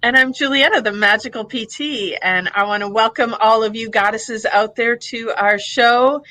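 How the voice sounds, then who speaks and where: A woman's voice: fairly high pitch, moderate pace, sharp tone, poor audio. A woman co-hosting a conversational interview podcast in an upbeat, enthusiastic way. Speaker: podcast co-host, physical therapist.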